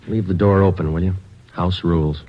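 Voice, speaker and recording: An actor playing a calm, terse male police detective with a clipped, matter-of-fact delivery in a 1950s radio drama. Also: deep voice, moderate pace, natural voice. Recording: dull hissy 1950s radio recording.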